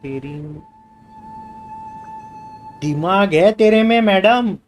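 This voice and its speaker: A man's voice: sits high, animated, quick pace, soft background music. A man with an Indian accent, a native Hindi speaker, doing a casual gaming livestream and reading game dialogue aloud. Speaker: game streamer.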